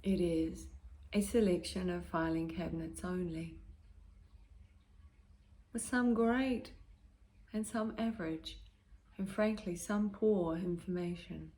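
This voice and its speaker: A woman leading a guided meditation in a calm, gentle, soothing manner, pausing between short phrases and letting their endings turn soft and breathy. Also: fairly low pitch.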